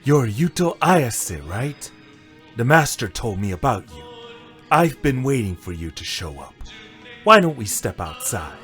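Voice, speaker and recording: A man's voice: medium pitch, very animated, a little slow, fairly bright tone. A man playing a frank, earnest sushi chef with a fighting past in an audio drama. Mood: confident. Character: candid male sushi chef, former fighter. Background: music.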